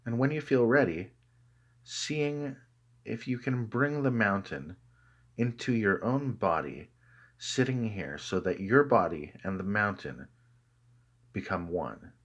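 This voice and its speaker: Deep voiced guy leading a meditation, really slow n calm, lots of pauses. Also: highs cut off. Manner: calm, measured, with deliberate pauses between phrases. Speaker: meditation guide. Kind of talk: guided meditation narration.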